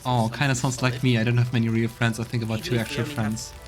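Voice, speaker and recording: A man's voice: mid-range voice, level tone, fast talker, music in the background. A man hosting a let's play, commenting casually in a reflective, conversational way.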